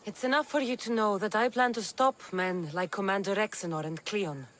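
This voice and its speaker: A woman's voice: mid-range voice, normal speed, fairly bright tone, slight background noise, close to an everyday voice. A woman playing a terse, guarded, determined mercenary in a video game set in ancient Greece. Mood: guarded, earnest. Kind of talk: video game cutscene acting.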